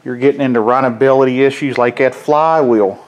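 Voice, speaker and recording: A man's voice: mid-range voice, steady tone, brisk, a little hiss or hum. A middle-aged man, a mechanic, talking casually and ramblingly off camera while he shows his work in a garage workshop. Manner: folksy, rambling, plainspoken. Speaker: mechanic and chainsaw hobbyist. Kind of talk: casual workshop how-to vlog. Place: cluttered garage workshop, indoors.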